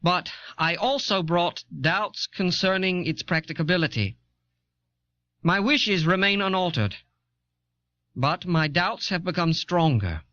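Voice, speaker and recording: Earnest colonial-era statesman, high ringing voice, oratorical, deliberate, dramatized history audio, dull cassette sound. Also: lively intonation, sharp tone, full character voice. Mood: earnest, reserved. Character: earnest, deliberating 18th-century American statesman. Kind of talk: formal dramatized speech.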